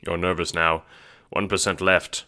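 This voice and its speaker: A man's voice: low-pitched, flat delivery, a little slow, sharp tone. A man reading scripted second-person narration for an audio drama. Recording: music under the voice.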